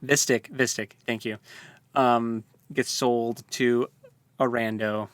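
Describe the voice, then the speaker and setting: A man in casual conversation, talking in a flat, even tone with little rise and fall. He stumbles and restarts often as he gathers his thoughts. His voice sounds close and dry, with no room around it. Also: medium pitch, slow pace, no echo. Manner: hesitant, many fillers and restarts. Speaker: podcast co-host. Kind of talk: casual book discussion.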